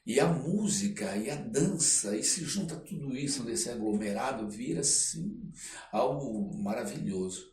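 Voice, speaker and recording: Older brazilian guy chatting reflectively, expressive, middling pitch, bit far from the mic. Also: unhurried. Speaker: interview guest, artist. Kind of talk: conversational storytelling. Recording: roomy, distant mic, faint noise.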